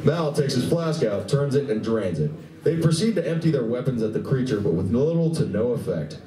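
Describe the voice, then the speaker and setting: Mellow, animated male narrator in echoey room. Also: medium pitch, brisk, recorded from a distance. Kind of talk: scripted narration of a live radio play. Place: live stage reading at a convention. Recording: echoey room, picked up from afar.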